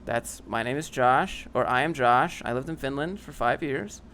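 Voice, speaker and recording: A man's voice: mid-range voice, moderate pace, fairly bright tone, slight background noise. A young man casually reading and answering chat on a livestream, dry and sarcastic, often rambling.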